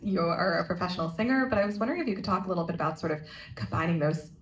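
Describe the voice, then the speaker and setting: A woman's voice: on the low side, lively intonation, racing. A woman moderating a premiere panel, asking long, meandering questions with restarts. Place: theater stage at a premiere. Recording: echoey theater, faint hum.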